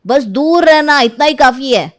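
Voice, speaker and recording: A woman's voice: high voice, animated, measured pace. A woman voicing a guilty, defensive husband who speaks curtly. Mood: irritated. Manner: curt, tense and defensive.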